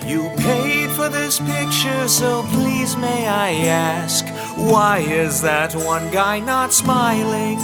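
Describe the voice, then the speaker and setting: A woman singing a comic storytelling ballad over plucked guitar, like a minstrel. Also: a little high.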